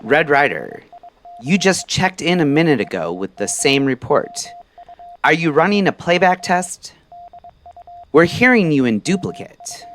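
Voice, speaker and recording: A performer voicing a late-night radio host who announces in a measured, ominous, formal way. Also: medium pitch, big pitch swings, a bit bright, a little hiss or hum. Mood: concerned. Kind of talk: scripted radio announcer narration.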